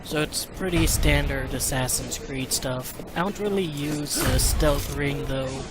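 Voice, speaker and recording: A man's voice: fairly high pitch, unhurried. A man giving casual commentary as the host of a gaming video. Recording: loud game music and street sounds behind. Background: game music and urban game sounds.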